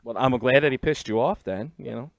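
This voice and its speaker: A man's voice: medium pitch, fairly quick, gravelly. A man hosting a radio interview in a casual, jokey, slangy and crude way. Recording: muffled roomy lo-fi radio interview audio.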